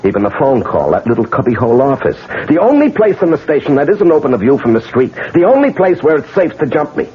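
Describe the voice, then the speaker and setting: An actor playing a bitter, vengeful husband in a 1970s radio drama, sardonic and brooding. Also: male voice, a little high, sing-song, fast talker, hardly any pauses, breathy edge, heavily acted. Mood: accusing. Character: betrayed husband, bitter, coldly vengeful man. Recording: muffled vintage radio broadcast.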